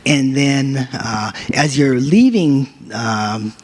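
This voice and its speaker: A man's voice: medium pitch, expressive, drawn-out words, darkish tone. Man giving a loose live app demo. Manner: loose, filler-heavy walkthrough. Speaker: hackathon team presenter. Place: conference stage.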